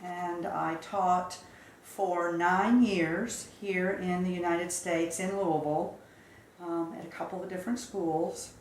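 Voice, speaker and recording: A woman in her fifties from South Carolina, recounting her life story in a measured, reflective way during an interview. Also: on the low side, fairly even intonation, roomy sound, slight background noise, low-quality recording. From Charleston, South Carolina. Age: 50s. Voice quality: measured, reflective, with occasional fillers. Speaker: interview guest.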